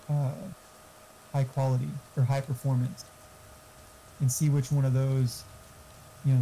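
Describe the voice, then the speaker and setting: A man's voice: mid-range voice, warm tone, slight background noise, poor audio. A man chatting casually during a game stream, explaining things in a rambling way and swearing freely. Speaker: gamer friend giving tech advice.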